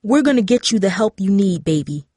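A woman's voice: medium pitch, expressive, brisk, dark tone, slightly rough, no room sound, studio quality. A woman playing a loving mother in a storybook, soothing and earnest. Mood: reassuring. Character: loving, reassuring mother of teenagers.

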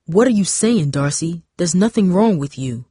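A woman's voice: fairly low pitch, expressive, normal speed, warm tone, breathy edge, dry recording, studio quality. A woman playing a concerned father of teenagers in a storybook, firm and reassuring.

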